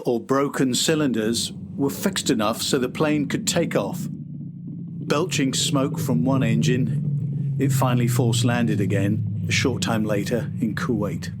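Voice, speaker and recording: A man's voice: mid-range voice, heavy background noise. A man hosting a podcast, reading a scripted historical documentary narration.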